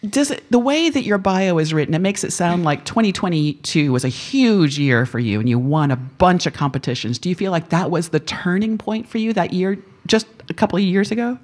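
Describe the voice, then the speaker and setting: A woman's voice: lowish voice, animated, a little fast, few pauses, a little mellow. A woman hosting a live classical radio interview, friendly and curious, laughing often. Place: radio performance hall studio.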